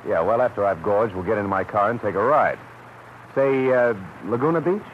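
An actor playing a wisecracking, lovestruck private detective in a vintage radio drama, with an American accent and wry, slangy delivery. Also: male voice, lowish voice, expressive, moderate pace, close to an everyday voice. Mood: casual, flirty. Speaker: detective, narrator. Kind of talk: old-time radio drama acting, hard-boiled narration. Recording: dull, very noisy vintage radio recording.

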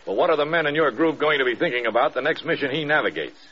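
A man's voice: a little high, lively intonation. Male American general, stern, authoritative, probing, swooping intonation, dull vintage radio sound. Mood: firm, reasoning. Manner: measured, authoritative, pointed questioning. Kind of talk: classic American radio drama acting. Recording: dull lo-fi old radio recording.

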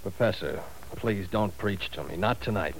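Rather low-voiced bitter young professor, tense edgy delivery, 1940s radio acting, faint hiss. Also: male voice, moderate pace, vocal fry, rough recording. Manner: bitter, tense, rising to outbursts. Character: weary conscience-stricken young professor. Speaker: teacher.

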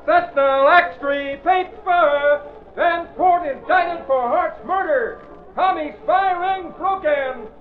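A man playing a young newsboy hawking extra editions in a loud, drawn-out cry in an old radio drama. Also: very high voice. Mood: excited hawking. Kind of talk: 1940s-50s radio acting, street cry. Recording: muffled, phone-like vintage radio, music behind.

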